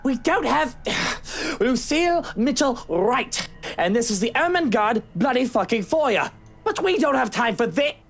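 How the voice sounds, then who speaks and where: A hot-tempered, animated young gentleman. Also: extremely high pitch, unhurried, vocal fry, heavily acted. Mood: exasperated. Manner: brash, sweary, agitated. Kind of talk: visual novel voice acting. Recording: music behind the voice.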